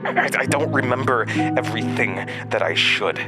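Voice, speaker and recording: An actor in an audio drama playing a mysterious, volatile male entity living inside someone's head, speaking in a halting, cryptic way. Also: medium pitch, animated, natural voice. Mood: cryptic, confused. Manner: halting, cryptic, swinging from muttering to outbursts. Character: fragmented mysterious male entity implanted in another's mind, volatile. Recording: music behind.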